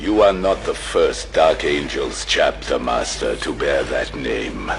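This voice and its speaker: A man acting a hulking, menacing, sardonic superhuman berserker warrior in an audio drama, gravelly and taunting. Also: rumbling low, takes it slowly, music in the background, exaggerated voice. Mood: taunting menace.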